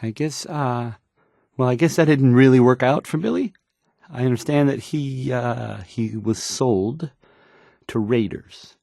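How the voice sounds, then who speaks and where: A man's voice: medium pitch, a little slow, vocal fry, a little mellow, no echo, clean studio sound. An actor playing a shy, awkward young radio DJ in a game, stammering and trailing off as he hesitantly reads the news. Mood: self-doubting.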